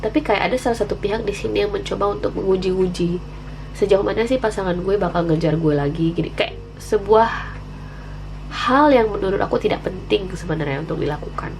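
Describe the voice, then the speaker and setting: A woman's voice: medium pitch, fairly quick, creaky, a little mellow, a little hiss or hum, low-quality recording. An Indonesian woman with the flu and a candy in her mouth, giving a casual, candid tarot reading to viewers and dropping in English phrases. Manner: chatty, candid, mixing in English slang phrases. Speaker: tarot reader, channel host.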